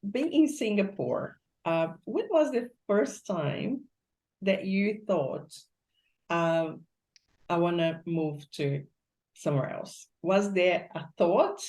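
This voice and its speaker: A woman hosting a casual interview, asking questions haltingly with many fillers. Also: fairly low pitch, lively intonation, darkish tone. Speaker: host.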